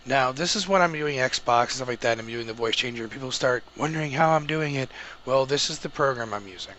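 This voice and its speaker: A man talking viewers through a software setup step by step in an informal, explanatory way. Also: mid-range voice, fast talker. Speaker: host of a tech tutorial. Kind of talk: casual step-by-step screen tutorial. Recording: clearly noisy home microphone recording.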